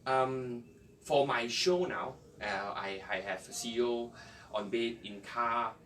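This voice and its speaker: A man with a Malaysian accent and Chinese as his first language speaks as an interview guest. His English is halting and conversational. The voice sits away from the mic, with faint noise behind it. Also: medium pitch, a bit bright, far from the mic. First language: Chinese (Cantonese and Mandarin). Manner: halting, non-native English phrasing. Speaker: interview guest, entrepreneur and former radio announcer.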